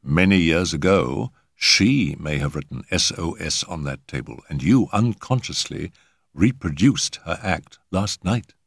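A man voicing a calm, perceptive gentleman in a storybook reading, speaking quietly and deliberately. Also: deep voice, breathy edge, dry recording, studio quality, full character voice.

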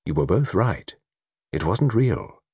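Man acting enigmatic British gentleman, placid, dreamy, quietly knowing, measured murmur, husky, close dry sound, storybook. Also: sits low, wildly swooping pitch, no echo, exaggerated voice. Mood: dreamy, leading.

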